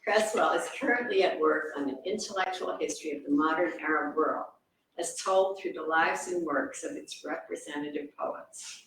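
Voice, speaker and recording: A woman's voice: low voice, moderate pace, a bit bright. A woman hosting an event, reading out an introduction of the speakers with informal asides. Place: reverberant indoor event room. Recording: echoey room, distant mic, faint noise.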